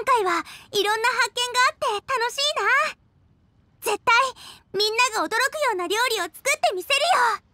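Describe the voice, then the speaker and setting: A woman's voice: extremely high pitch, bright, forceful tone, dry recording, heavily acted. A woman playing a cheerful, eager teenage girl cook in a video game, perky and earnest. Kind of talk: Japanese game voice acting.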